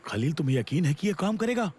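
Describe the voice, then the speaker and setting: A man's voice: a little high, sing-song, quick pace, darkish tone, slightly rough. An actor voicing a self-important, easily panicked boy magician in a cartoon, with a grandiose manner. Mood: grand announcing. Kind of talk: animated cartoon dubbing. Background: music.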